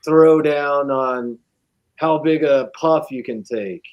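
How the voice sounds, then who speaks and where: A man's voice: on the high side, slow, lo-fi sound. A man guest chatting casually, telling stories in an easygoing, rambling way with many fillers. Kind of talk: casual chat.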